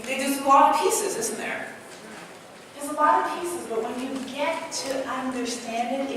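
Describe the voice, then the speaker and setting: Energetic woman speaking on stage. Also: on the high side, a little hiss or hum. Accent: Trinidadian. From Trinidad. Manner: energetic, asks the crowd questions, tells personal stories. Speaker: motivational speaker. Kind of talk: live stage talk to an audience. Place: large hall with an audience. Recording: echoey hall, mic heard from a distance.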